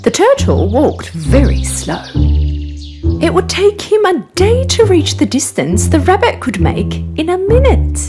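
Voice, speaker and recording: A woman storyteller reading a scripted children's fable in an expressive, dramatic way. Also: very high voice, a little slow. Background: music.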